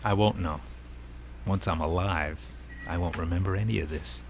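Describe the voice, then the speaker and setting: A man's voice: sits low, moderate pace, creaky. An American actor in a radio drama playing a brash, restless army buddy, a self-assured ladies' man who speaks in emphatic, colloquial phrases. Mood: matter-of-fact, firm. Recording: noisy old radio drama recording.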